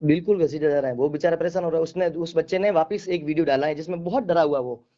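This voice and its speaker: A man with a somewhat high voice answers in a phone interview. He is emphatic and defensive, repeating phrases to press his point. The call recording is dull and lo-fi. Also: fast, warm tone. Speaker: devotional singer being interviewed. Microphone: telephone.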